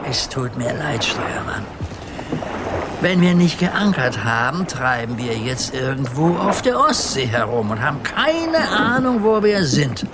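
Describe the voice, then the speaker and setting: A man's voice: mid-range voice, very animated, a little slow, very noisy. An older man playing a good-natured grandfather who acts as sea captain in a children's radio play, giving theatrical nautical orders. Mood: resigned, indulgent. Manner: theatrical nautical commands, indulgent. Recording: clear boat and water sounds behind.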